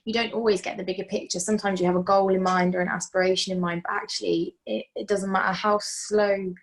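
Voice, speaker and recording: A woman's voice: mid-range voice, flat delivery, quick pace, creaky, low-quality recording. A woman hosting an online careers panel, asking questions and affirming the guests' answers. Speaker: host. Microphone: video call.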